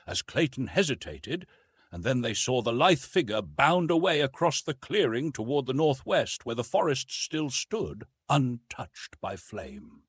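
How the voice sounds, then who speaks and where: A man's voice: medium pitch, big pitch swings, unhurried, slightly rough, no echo, studio quality. A man reading an adventure novel aloud as dramatic scripted narration. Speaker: audiobook narrator.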